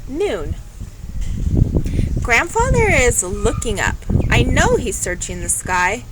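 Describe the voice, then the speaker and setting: Expressive, slow-reading woman storyteller, noisy recording. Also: fairly high pitch. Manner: expressive, unhurried storytelling with dramatic pauses. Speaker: storybook reader. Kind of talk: children's picture book read-aloud. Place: at home, with construction noise. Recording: home recording with loud background noise. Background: construction noise, animal sounds.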